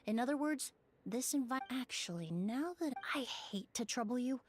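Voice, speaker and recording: Fantasy game investigator, young woman voiced by an actress: composed, articulate, formal explainer, slightly high register. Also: expressive, normal speed, a little hiss or hum, close to an everyday voice. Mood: grave, explanatory. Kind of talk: scripted video game dialogue.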